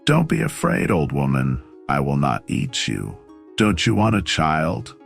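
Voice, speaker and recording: Here is a huge, menacing storybook giant with a low, heavily creaking voice. He talks slowly and commandingly, with big swoops in pitch. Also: wildly swooping pitch, faint music behind, heavily acted. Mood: menacing coaxing. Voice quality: gravelly, creaky. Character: huge menacing child-eating male giant. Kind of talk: children's folktale storybook acting.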